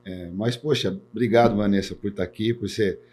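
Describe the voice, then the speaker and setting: Man, forties, from Brazil, low-pitched, husky, earnest interview guest. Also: steady tone, moderate pace, darkish tone. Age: 40s. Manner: earnest, repeats words, tags sentences with "né". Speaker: insurance executive guest. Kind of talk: podcast interview talk.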